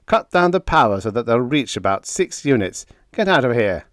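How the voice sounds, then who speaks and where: A man voicing a gruff, bold starship commander who barks crisp military orders in an audiobook story. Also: mid-range voice, big pitch swings, normal speed, fairly bright tone, dry recording, clean studio sound, voice pushed up. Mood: brisk, commanding. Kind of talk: dramatised audiobook character dialogue.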